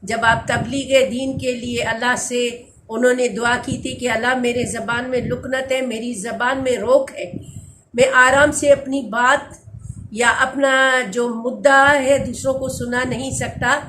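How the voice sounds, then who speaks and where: Female lecturer, slightly high voice, unhurried and patient, repeats words as she explains, faint background noise. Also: flat delivery, a bit bright, rough recording. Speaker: teacher. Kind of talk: slow Quranic grammar lecture.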